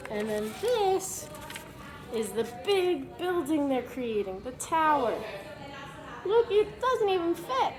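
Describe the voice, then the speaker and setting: A woman reading a picture book aloud to young children, pausing to explain things simply as a preschool teacher would. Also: sits high, sing-song, crowd noise, rough recording.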